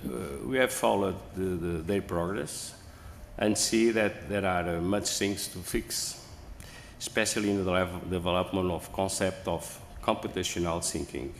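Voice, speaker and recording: Guy, very low voice, slow and halting, echoey big hall. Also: vocal fry. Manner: halting, many fillers and restarts. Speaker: conference speaker. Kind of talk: hesitant presentation talk. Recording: echoey hall, faint room noise.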